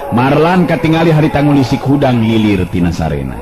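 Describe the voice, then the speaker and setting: A man with a Sundanese accent narrating a traditional folk tale in a calm, measured way. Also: medium pitch, noisy background. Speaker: storyteller.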